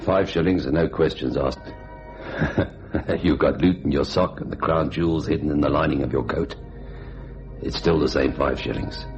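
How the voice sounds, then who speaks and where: Very deep, jaded night clerk. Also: male voice, normal speed, long pauses, darkish tone. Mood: jaded, curt. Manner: blunt, hard-boiled. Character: curt, jaded young male hotel night receptionist. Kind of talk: radio noir acting and narration. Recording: dull old radio recording with music.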